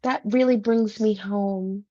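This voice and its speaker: A woman's voice: mid-range voice, fairly even intonation, takes it slowly, warm tone. A woman co-hosting a podcast in casual chat, teasing her co-host and playfully mimicking her. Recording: dull lo-fi sound.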